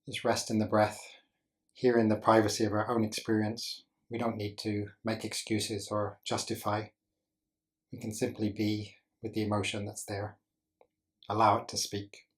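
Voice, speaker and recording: A man leading a guided meditation as a teacher, speaking gently in short instructional phrases with deliberate pauses. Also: on the low side, monotone.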